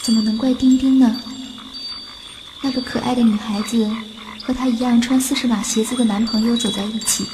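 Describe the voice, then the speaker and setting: Wistful female sock, lovelorn and sentimental, woman acting in a radio fairy tale; fairly high, heavy reverb. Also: steady tone, brisk, background noise, lightly acted. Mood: tender, glad. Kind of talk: fairy-tale radio drama inner monologue. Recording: long reverb, music behind. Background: clear background music.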